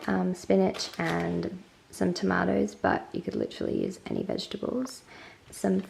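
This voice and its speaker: A woman's voice: lowish voice, gravelly, mellow, a little hiss or hum. A woman in her twenties chatting casually as a travel vlogger, with an informal, gushing and playful manner. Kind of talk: casual vlog chat. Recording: music playing under the voice. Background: music.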